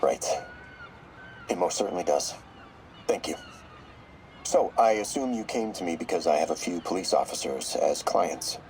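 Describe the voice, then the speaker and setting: An actor playing a shrewd therapist, measured and probing in a video game audio log. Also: male voice, low-pitched, expressive, a little mellow, slight background noise. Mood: calculating. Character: shrewd male therapist, measured, clinical, quietly calculating.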